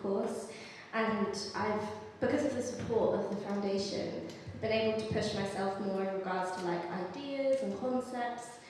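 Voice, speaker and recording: A woman's voice: mid-range voice, unhurried, a little mellow, slight background noise. Young south london woman, chatty with lots of "like", far off in an echoey hall. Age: 20s. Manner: casual, says "like" and "um". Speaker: photography trainee. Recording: echoey hall, distant mic.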